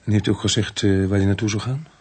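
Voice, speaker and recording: A man's voice: lowish voice, fairly even intonation, quick pace, darkish tone, husky. An actor playing a weary, compassionate male police inspector in a radio drama, both speaking and narrating in the first person. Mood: gentle. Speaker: actor in the lead and narrating role.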